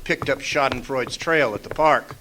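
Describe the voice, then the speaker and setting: An actor playing a sardonic, hardboiled private eye narrating a radio detective parody. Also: male voice, mid-range voice, moderate pace, noisy background, close to an everyday voice. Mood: dry narrating.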